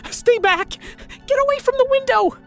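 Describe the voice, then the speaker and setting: A woman narrating a first-person horror story, frightened and at times breathy. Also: extremely high pitch, a little slow, faint music behind.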